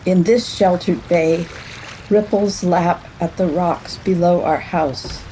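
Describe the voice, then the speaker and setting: An older woman, over sixty, gives a measured, deliberate reading of literary memoir prose. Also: lowish voice, fairly even intonation, dark tone, slight background noise, low-quality recording. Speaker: writer reading own work.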